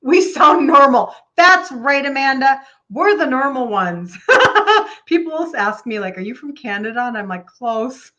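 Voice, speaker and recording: A woman in her fifties with a Minnesota accent, hosting a casual live stream and chatting excitably with viewers by name. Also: sits high, unhurried, dull audio. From Minnesota, United States. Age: 50s. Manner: excitable, gushing, repeats phrases for emphasis. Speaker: live stream host, health coach.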